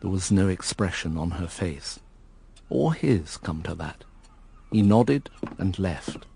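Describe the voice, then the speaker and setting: Low-voiced, cynical gentleman. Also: lively intonation, takes it slowly, creaky, husky, heavily acted. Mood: reflective. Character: weary, cynical invalid gentleman narrating his own story. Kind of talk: radio drama narration and dialogue.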